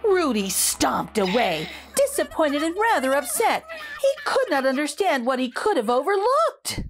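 A woman reads a children's storybook aloud. Her voice is high and very lively in pitch, with animated, emphatic delivery. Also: unhurried, slight background noise. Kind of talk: expressive read-aloud for young children.

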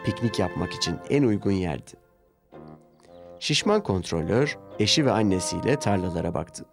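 A man's voice: low voice, big pitch swings, moderate pace, vocal fry. A man narrating a scripted children's story as the storyteller. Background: background music.

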